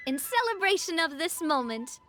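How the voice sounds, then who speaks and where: A woman's voice: very high voice, very animated, moderate pace, fairly bright tone, faint music behind, exaggerated voice. A woman playing a spirited, gracious young female leader in a video game, speaking in an encouraging way. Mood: warm, sincere.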